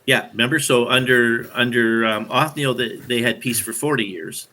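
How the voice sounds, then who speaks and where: A man hosting a podcast, explaining scripture in casual chat with a halting delivery that restarts phrases. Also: medium pitch, fairly even intonation, pushed and bright.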